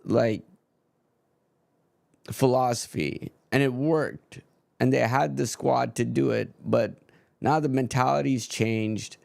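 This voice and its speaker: Gravelly, ranting football streamer man. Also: medium pitch, slow, pauses often, a little mellow, dry recording. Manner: ranting, frank, swears freely. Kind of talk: casual live-stream football watchalong chat.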